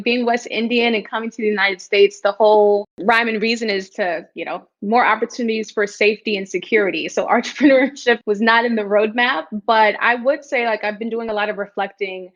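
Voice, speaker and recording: A woman's voice: a little high, brisk, few pauses. Woman guest on slightly dull audio. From New York City, the Bronx. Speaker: startup founder and guest. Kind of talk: podcast interview.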